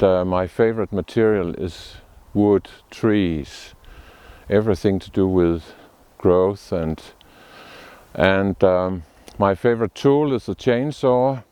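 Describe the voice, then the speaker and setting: A man from Denmark, a sculptor, speaking in a documentary interview with thoughtful, unhurried restarts. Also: deep voice, dark tone, smooth, no echo. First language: Danish.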